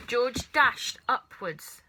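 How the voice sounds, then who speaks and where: Female storybook reader, bright and expressive, with highly varied melody. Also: very slow, a little hiss or hum. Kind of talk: animated children's story narration.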